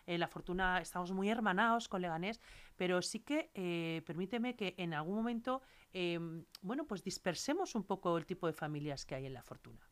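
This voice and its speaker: A woman's voice: fairly low pitch, lively intonation, a little fast. A woman interviewer who asks long, admiring questions that wander, full of fillers.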